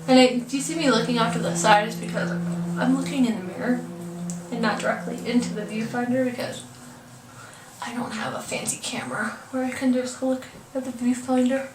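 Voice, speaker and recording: A woman's voice: a little high, fairly even intonation, brisk. Young woman, kinda stuffed up, lots of ums, sounds a bit far from the mic in an echoey room w light hiss. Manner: chatty, hesitant with many ums, apologetic. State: stuffed up, congested. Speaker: beauty vlogger. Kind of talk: casual makeup tutorial. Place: room at home. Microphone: camera mic from a distance. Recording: roomy, distant camera audio with faint hiss.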